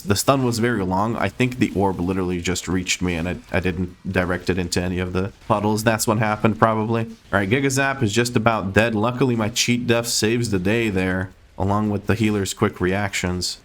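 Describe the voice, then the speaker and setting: A man giving rambling, self-correcting play-by-play commentary on his gameplay, a little hoarse as he is losing his voice. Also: on the low side, a bit bright. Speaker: game streamer.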